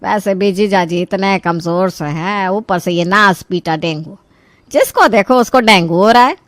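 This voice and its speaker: A woman voicing a caring but fussy middle-aged mother-in-law in an animated story, chatty, fretful and motherly. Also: mid-range voice, moderate pace, no echo, natural voice. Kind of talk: Hindi animated story voice acting.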